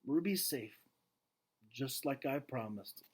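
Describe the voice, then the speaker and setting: A man voicing a gentle, wistful and earnest adult gorilla from a children's storybook, with hushed, dramatic phrasing. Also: mid-range voice, animated, slow pace, noisy background. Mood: hushed relief. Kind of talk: children's novel read aloud, first person.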